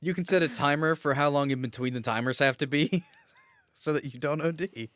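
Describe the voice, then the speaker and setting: A man's voice: fairly high pitch, fairly quick, fairly bright tone. A man co-hosting a podcast with casual, joking and sometimes crude banter. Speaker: podcast co-host.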